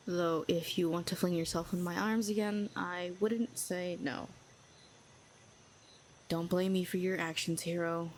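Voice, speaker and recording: A proud telepathic villainess, performed by a woman in an audio roleplay, speaks in a cool, sardonic, needling way. Her voice sits a little low. A faint hiss runs under the recording. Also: darkish tone. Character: proud telepathic female villain, cynical, lonely.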